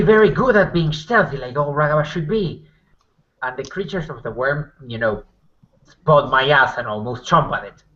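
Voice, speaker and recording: A man improvising in a tabletop roleplaying game, halting and repeating phrases, on dull lo-fi audio. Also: mid-range voice, pushed and bright. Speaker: tabletop role-player. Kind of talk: casual improvised in-character roleplay.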